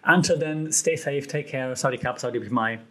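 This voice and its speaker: A man hosting a solo podcast, talking in a casual, chatty way with lots of fillers and restarts, his voice a bit hoarse. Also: medium pitch, fairly quick, bright, forceful tone. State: slightly hoarse. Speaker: podcast host.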